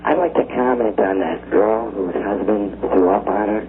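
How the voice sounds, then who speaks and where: A man calling in to a show, chatting casually in a laid-back, deliberate way. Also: on the low side. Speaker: caller. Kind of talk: casual phone chat. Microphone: telephone. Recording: tinny phone line, crowd noise behind.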